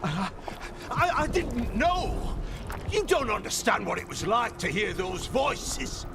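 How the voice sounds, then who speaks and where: Craven pleading mayor, high creaky voice. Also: male voice, lively intonation, noisy background, exaggerated voice. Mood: desperate, guilty. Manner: halting, pleading, self-excusing. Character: craven, guilt-ridden village mayor, pleading and greedy. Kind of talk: dramatic video game acting. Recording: game audio under loud music.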